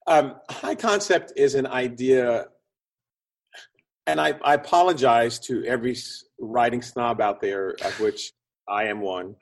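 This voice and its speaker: A man speaking as an expert interview guest, explaining conversationally in an animated way with short punchy phrases and a slightly husky voice. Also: mid-range voice, unhurried. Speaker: interview guest, screenwriting consultant and producer.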